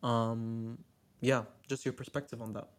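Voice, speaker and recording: Podcast host, mid pitch, asking stuff, jokey asides. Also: little pitch movement, a little slow. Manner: asks questions, playful asides. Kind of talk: casual podcast interview.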